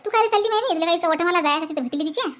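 A woman's voice: extremely high pitch, very fast, fairly bright tone, a little hiss or hum. A woman voicing a jealous, boastful middle-aged village aunt in a comic cartoon, with a Varhadi Marathi accent and a nosy, scornful manner. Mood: scornful, spiteful. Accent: Varhadi Marathi from Vidarbha. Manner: nosy, scornful, muttering to herself.

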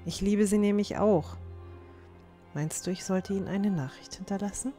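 A gentle teenage girl, acted by a woman, in a fantasy audio drama. Her voice is mellow and soft-spoken, earnest and resolute, with faint music underneath. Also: medium pitch, normal speed, lightly acted. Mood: earnest, hopeful.

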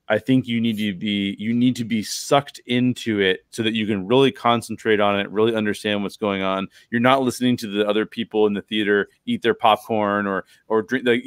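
Low-voiced middle-aged man hosting a podcast. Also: no echo, clean studio sound. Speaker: podcast host. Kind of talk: conversational film analysis. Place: bedroom, video call. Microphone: close podcast microphone.

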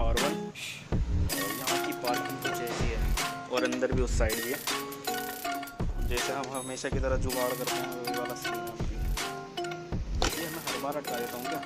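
A young man chatting casually for a vlog, slangy and mixing in English words. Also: medium pitch. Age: young adult. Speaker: vlogger. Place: city pavement, mall and parking area. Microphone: phone at arm's length. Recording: noisy handheld recording, traffic and ambience.

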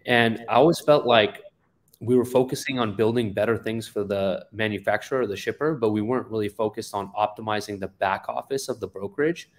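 A man's voice: lowish voice, fairly quick. A man in his forties from Afghanistan, a founder telling his background on a video call with many ums and uhs. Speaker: guest, company founder. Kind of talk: interview storytelling. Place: office indoors. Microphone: webcam on a video call.

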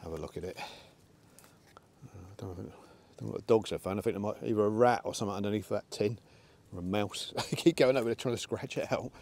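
A man's voice: lowish voice, brisk, creaky. Chatty man outdoors. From England. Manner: chatty, relaxed, talks to his dogs. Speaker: air rifle shooting vlogger. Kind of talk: casual outdoor commentary. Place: outdoors in a windy field by a pond. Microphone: camera on a tripod, then handheld.

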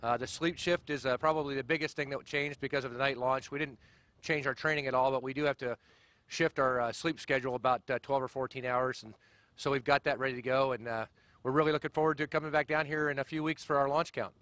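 A man's voice: mid-range voice, steady tone, fairly bright tone, no room sound. A middle-aged man briefing the press outdoors as mission commander, friendly and full of "uh" fillers, on a handheld mic. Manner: friendly, fast, many "uh" fillers. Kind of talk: outdoor press conference remarks. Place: outdoors at a launch pad.

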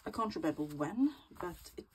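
A woman's voice: fairly low pitch, expressive, fairly quick, noisy background. A woman vlogger with a stuffy cold, chatting casually through her crafting in a small home craft room and often murmuring half-whispered asides as she works.

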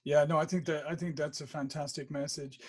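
Middle-aged guy hosting a video call interview, upbeat, kinda rambly questions, slightly high voice. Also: fairly even intonation, fairly quick. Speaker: interview host. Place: home office in front of a branded backdrop. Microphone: studio mic on a video call.

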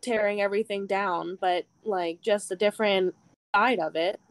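A woman in her early twenties talks casually on a podcast, dropping filler words as she goes. Her pitch is moderate and the recording is dry and close. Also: steady tone, moderate pace, no room sound. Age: 20s. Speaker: podcast co-host. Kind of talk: casual chat.

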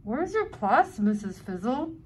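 A woman reads speech bubbles from a children's book, her voice high and unhurried, with pitch that swings widely. Faint room noise sits behind her, and at times she sounds a little off the mic. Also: big pitch swings, husky.

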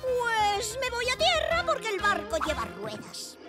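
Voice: very high-pitched, huge pitch swings, measured pace, heavily acted. A performer playing a swaggering boy ninja villain who fancies himself a pirate, bragging theatrically with a Castilian Spanish accent in a cartoon dub. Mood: dreamy, swaggering. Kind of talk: animated children's cartoon dub. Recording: loud music behind.

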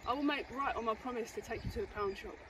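A woman hosting an outdoor fishing vlog beside a river, talking in an excited, lively way. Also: on the high side, fast. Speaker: host. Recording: distant mic with outdoor noise.

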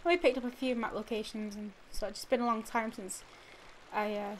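A woman's voice: fairly high pitch, steady tone, a little fast, rough recording. A young woman with a stuffy nose from a cold, giving rambling casual commentary over a video game, full of fillers and restarts. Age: young adult. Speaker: let's play gamer. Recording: audible background noise.

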